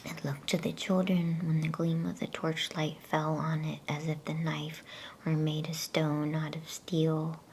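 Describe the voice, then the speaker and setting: A woman whispering a bedtime story in ASMR style, with a hushed, breathy, gentle delivery. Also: low-pitched, low-quality recording. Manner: slow, hushed, gentle pacing. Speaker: storyteller reading a bedtime book. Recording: faint background noise.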